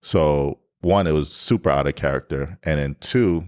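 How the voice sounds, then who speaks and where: A man with a deep voice co-hosting a podcast, candidly retelling a story and acting out his own past lines. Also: normal speed, darkish tone. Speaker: podcast co-host. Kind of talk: candid storytelling in casual chat.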